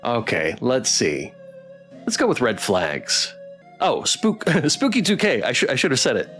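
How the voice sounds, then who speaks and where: A man's voice: medium pitch, huge pitch swings, unhurried, fairly bright tone. A man streaming a game, chatting casually with his viewers. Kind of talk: casual game livestream chat. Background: music.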